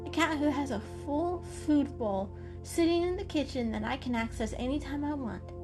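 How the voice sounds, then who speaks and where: Lady doing a tiny prissy kitty, really high plaintive voice, storybook style, music underneath. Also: moderate pace, lightly acted. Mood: prim, soft. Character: small dainty female house cat, anxious, fussy, plaintive. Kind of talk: dramatic reading of animal storybook.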